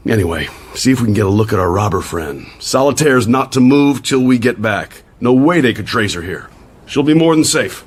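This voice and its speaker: Brash American agent for radio drama, male actor, slangy, punchy, excitable, mid-pitched, with ambient noise behind. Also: normal speed, close to an everyday voice. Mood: urgent, businesslike.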